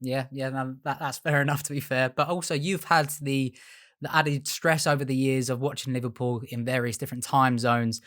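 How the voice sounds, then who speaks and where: A man hosting a casual podcast, his voice at an ordinary middle pitch. He sounds relaxed and agreeable, easing into his questions with "um"s and small restarts. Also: normal speed. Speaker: podcast host. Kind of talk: casual interview chat.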